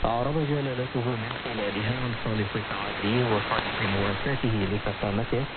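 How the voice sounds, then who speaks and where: Rapid male newsreader through heavy shortwave static. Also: fairly low pitch, fairly even intonation. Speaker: radio news presenter. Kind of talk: formal scripted news bulletin. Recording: very noisy shortwave radio, narrow band. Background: loud static hiss.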